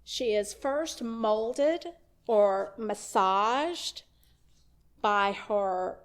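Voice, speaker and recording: A woman minister delivering a sermon, slow and deliberate, with emphatic rising questions. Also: mid-range voice, creaky.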